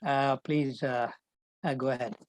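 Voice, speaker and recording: A man's voice: medium pitch, steady tone, unhurried, no echo. A man hosting an informal online discussion over lo-fi call audio, handing over to others for questions. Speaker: session host.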